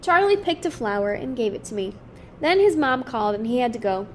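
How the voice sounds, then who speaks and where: Storybook girl, acted by a woman: upbeat, candid, friendly, high and bouncy in pitch, faint noise behind. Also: brisk. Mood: happy. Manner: chatty, candid, lively. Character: cheerful, frank, friendly young girl. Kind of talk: children's picture book read-aloud.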